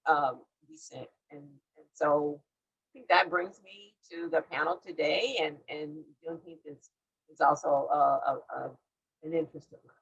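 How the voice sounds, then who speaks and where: A woman from Miami speaks haltingly in a husky, gravelly low voice. Her video-call audio sounds dull and lo-fi. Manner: halting, many fillers. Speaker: panelist.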